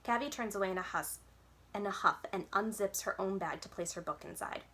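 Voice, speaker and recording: A woman reads a children's book aloud in the manner of a library storyteller. Her voice sits at an average pitch for a woman as she delivers the scripted narration. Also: brisk, bright, forceful tone.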